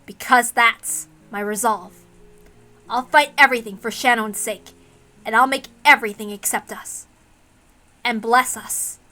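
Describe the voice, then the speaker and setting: An earnest young man from a story reading, played by a woman, stubborn and devoted. The voice is bright and resolute, declaring itself firmly with faint music behind it. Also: on the high side, measured pace. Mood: defiant.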